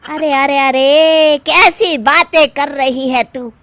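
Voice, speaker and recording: A woman voicing a blunt, scolding middle-aged female friend in a cartoon story, with an animated, chiding manner. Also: sits high, slow, background noise. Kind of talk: animated moral story acting.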